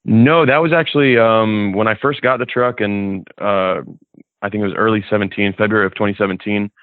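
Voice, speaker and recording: Low gravelly midwest guy, laid back, sounds like a thin phone line. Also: fairly quick, dry recording. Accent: American Midwest. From Kuwait. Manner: relaxed, easygoing, many ums and uhs. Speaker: off-road club organizer, guest. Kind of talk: casual interview chat.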